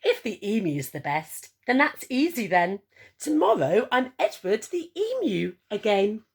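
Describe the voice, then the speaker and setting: A woman's voice: mid-range voice, sing-song, measured pace, breathy edge, heavily acted. A woman reading a children's picture book, voicing an eager, boastful young male emu in an exclamatory, theatrical way. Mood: pleased.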